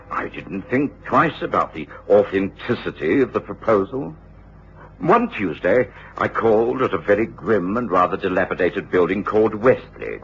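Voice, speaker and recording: An actor playing a British gentleman doctor who narrates his own misadventure in a measured, formal way for radio drama. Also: on the low side. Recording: dull old radio recording.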